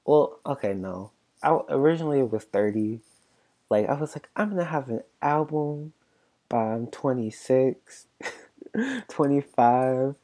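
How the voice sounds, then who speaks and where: A soft-spoken man in his mid-twenties chats loosely as a podcast host, his tone mellow and dark. He stops and restarts often and keeps leaning on filler words. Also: medium pitch. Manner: halting, filler-heavy, many restarts and likes. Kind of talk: rambling casual chat.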